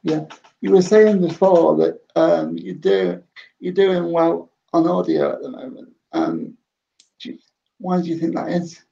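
A man with a very gravelly, dark voice hosts an interview over a video call. The audio is dull and lo-fi, and his questions come out halting, broken up by short agreements. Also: fairly high pitch, animated. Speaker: interviewer host. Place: home room with bookshelf.

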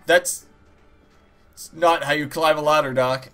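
A man's voice: a little high, steady tone, unhurried, bright, forceful tone. A man giving casual gameplay commentary as host, rambling and joking with plenty of swearing and mock-dramatic asides. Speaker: gaming video host. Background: game music.

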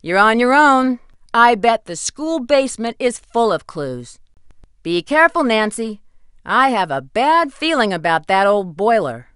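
Casting: caring female sidekick in a detective game, slightly high and very expressive, dry sound. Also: a little slow, clean tone, no room sound. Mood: concerned, urging. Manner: urging, helpful. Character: concerned female friend giving a detective hints. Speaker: video game character actor. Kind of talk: scripted game dialogue.

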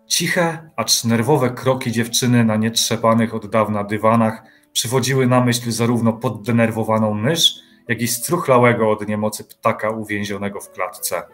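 A man's voice: mid-range voice, a bit bright. A man reading scripted literary narration as the narrator of an audio drama. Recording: music behind the voice.

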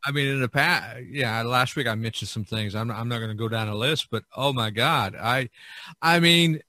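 Bright-voiced man hosting, dry sound. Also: mid-range voice, normal speed, no echo. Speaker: podcast host. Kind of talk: casual chat.

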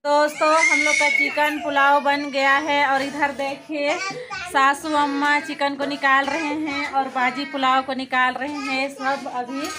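A woman's voice: high voice, flat delivery, measured pace. A woman in her thirties chatting to her viewers as she cooks in a village courtyard, filming herself on a phone held at arm's length. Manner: chatty, addresses viewers directly. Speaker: vlogger. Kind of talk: casual narration to camera. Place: village courtyard and home at night.

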